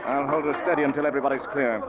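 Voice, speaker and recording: An actor playing a stern, fearless young sea captain with a commanding delivery in a 1940s radio drama. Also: male voice, medium pitch, fairly even intonation, racing, natural voice. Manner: commanding, resolute. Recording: muffled, very noisy old radio recording.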